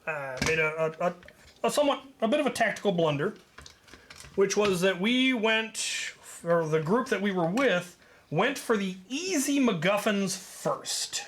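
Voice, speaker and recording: A man telling a story in a casual, rambling way as host, leaning deliberately on his key points. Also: high voice, expressive, fairly bright tone, slight background noise.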